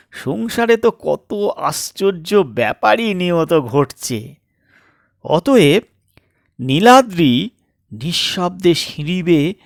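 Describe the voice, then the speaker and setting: Slow, expressive male storyteller, lively pitch. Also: vocal fry, no room sound, clean studio sound. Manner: theatrical, suspenseful pauses. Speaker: storyteller and channel host. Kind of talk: dramatic scripted comic story narration.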